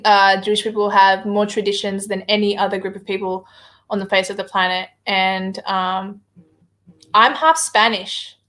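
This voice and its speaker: A woman's voice: medium pitch, a bit bright. Hesitant Australian woman chatting on a livestream. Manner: hesitant, many filler words, answers viewer comments. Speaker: livestream guest reading viewer chat. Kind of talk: casual livestream chat.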